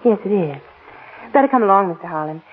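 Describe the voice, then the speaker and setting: A woman's voice: medium pitch, animated, quick pace, lightly acted. An actress playing a poised, teasing young woman in a 1940s radio drama. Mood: polite, reserved. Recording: narrow, noisy 1940s radio broadcast.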